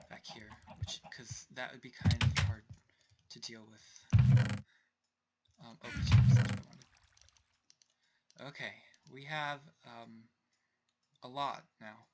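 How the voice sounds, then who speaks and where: A young man casually narrating his game as he plays, rambling and talking to himself, his voice going a little hoarse. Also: medium pitch, noisy background. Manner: rambling, self-talking, distracted asides. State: voice getting hoarse. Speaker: let's play host. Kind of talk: casual gameplay commentary.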